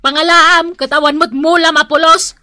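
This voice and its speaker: A shrill, nagging Ilocano wife. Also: female voice, steady tone, moderate pace, sharp tone, no room sound, studio quality. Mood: complaining. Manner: scolding. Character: nagging, fault-finding village wife. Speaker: radio drama actor. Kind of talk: Ilocano radio comedy-drama acting.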